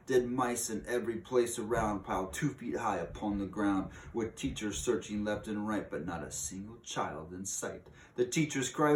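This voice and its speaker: A man reading aloud, voicing a cruel, gloating old witch queen who shouts in broken grammar, heard in a roomy space a little away from the mic with faint hiss. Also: fairly low pitch, far from the mic.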